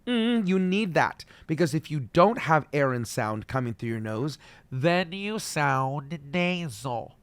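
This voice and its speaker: A middle-aged man teaching as a vocal coach, friendly and explaining slowly with demonstrations. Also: on the high side, sing-song, smooth, dry recording. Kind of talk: solo video voice lesson.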